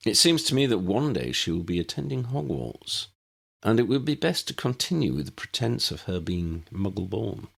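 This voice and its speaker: A man voicing a gentle, courteous uncle with a formal, wordy and polite manner in an audiobook. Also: fairly low pitch, big pitch swings, moderate pace, creaky, husky, pitched above the performer's own voice, heavily acted.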